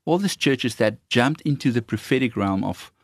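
A man with an Afrikaans accent who trains worship leaders, talking earnestly and haltingly with many fillers. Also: medium pitch, lively intonation, no echo. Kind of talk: casual conversation on faith.

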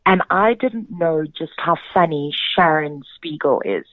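A woman's voice: low voice, unhurried, dry recording. A South African woman, a theatre director, speaking as a guest in a radio interview. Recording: narrow phone-like sound.

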